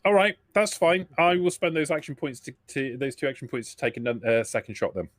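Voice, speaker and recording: A man's voice: medium pitch, brisk, no echo, studio quality. A man acting as game master in a tabletop roleplaying game, talking through the rules step by step in an easygoing way.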